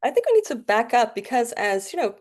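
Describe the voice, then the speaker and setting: A woman's voice: fairly high pitch, very animated, brisk, a little mellow. A woman in her forties from the Bahamas with a North American accent, a guest doctor telling her story in a chatty, laughing way. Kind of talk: casual interview chat.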